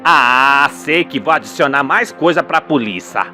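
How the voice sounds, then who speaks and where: Radio soap role: vengeful old rustic dad played by a male narrator, folksy, indignant, unhurried, light music bed. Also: medium pitch, animated, sharp tone, pitched below the performer's own voice. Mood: indignant, gleeful. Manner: folksy, emphatic rustic speech. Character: older rural father, indignant, vengeful, colloquial.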